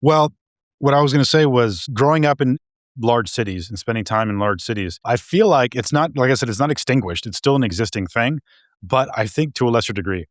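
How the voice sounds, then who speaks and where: A man's voice: medium pitch, expressive, a little fast, no room sound, clean studio sound. A man co-hosting a podcast, talking casually and hesitantly, often restarting and repeating words. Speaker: podcast co-host. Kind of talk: casual discussion.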